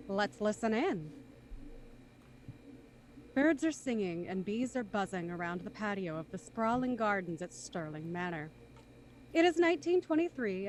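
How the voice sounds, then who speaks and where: A woman narrating a radio drama in a measured, suspenseful way. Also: a little high, slight background noise.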